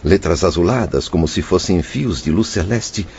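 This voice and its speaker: Very deep-voiced, humble troubled father. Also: animated, normal speed, creaky, a little mellow. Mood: reverent, moved. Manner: earnest, formal, emotional. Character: humble, troubled father, repentant spirit recounting his past. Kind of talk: Brazilian radio drama narration and dialogue. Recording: dull, faintly noisy radio drama track.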